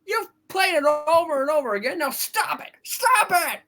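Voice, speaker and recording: A man playing a sharp-tempered but loving, weary father in a hammed-up live radio play reading. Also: very high-pitched, animated, normal speed, heavily acted. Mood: furious. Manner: hammed-up, exasperated, stumbling reading.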